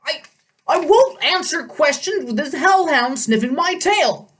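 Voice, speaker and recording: A woman's voice: sits high, lively intonation, measured pace, far from the mic, pitched above the performer's own voice. A woman voicing a very old, fat male satyr, pompous and indignant, blustering and stammering as she reads a storybook aloud. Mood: flustered, blustering. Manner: huffy, blustering, stammering when flustered.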